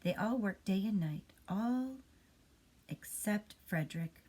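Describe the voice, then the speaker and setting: Female storyteller for a children's bedtime tale, expressive, medium pitch, slightly breathy and husky. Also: slow pace.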